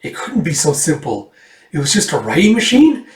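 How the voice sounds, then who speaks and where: A man's voice: fairly high pitch, huge pitch swings, normal speed, far from the mic. A boastful, vengeful villain voiced by a man talks down to others with theatrical grandeur in a tabletop game. The voice sounds roomy and set back from the mic. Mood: explanatory. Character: vengeful, scheming, self-proclaimed wicked man, boastful villain.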